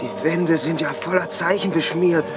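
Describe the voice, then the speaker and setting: A nervous young man played by a male actor speaks in a fairly high voice, hesitant and protesting. He is a timid, reluctant companion in a horror audio drama, with music behind him. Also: moderate pace, natural voice. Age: young adult. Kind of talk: horror radio drama acting. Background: prominent music.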